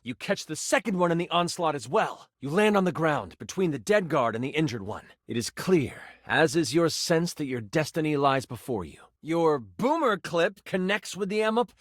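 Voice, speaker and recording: A man's voice: on the high side, expressive, normal speed, hardly any pauses, no room sound, clean studio sound. An actor playing a male game master who narrates a fantasy adventure in a theatrical, suspenseful storytelling style for a video game. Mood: excited, triumphant.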